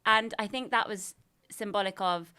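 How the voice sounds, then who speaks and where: A woman, bluntly chatting on a podcast and telling a story in halting pieces. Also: medium pitch, sharp tone, clear, resonant tone. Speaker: podcast co-host. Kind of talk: casual chat.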